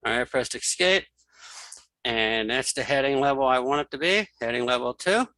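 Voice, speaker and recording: A man giving a casual software tutorial, thinking aloud as he demonstrates each step. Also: medium pitch, animated, normal speed, no echo, poor audio. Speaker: instructor.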